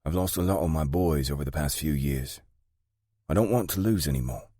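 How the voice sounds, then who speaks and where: A man voicing a tough, foul-mouthed gang matriarch and grandmother with a British accent, blunt and sharp. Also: rumbling low, brisk, dry recording, clean studio sound, voice pushed up. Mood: grave, weary.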